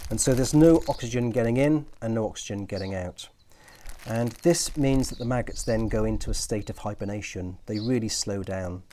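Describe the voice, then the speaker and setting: Older man with a mellow, low voice. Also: slight background noise. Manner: friendly, practical, unhurried. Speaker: angling presenter. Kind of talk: casual how-to explanation. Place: outdoor garden patio. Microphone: lapel mic.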